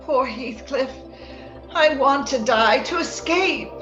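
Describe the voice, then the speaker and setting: Actress as fevered young lady, dreamy romantic radio acting, very high voice, echoey dull recording. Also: takes it slowly. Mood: yearning, sorrowful. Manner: dreamy, yearning, theatrical. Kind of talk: old-time radio drama acting. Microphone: recorded remotely over video call. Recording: echoey, dull video-call sound, music underneath.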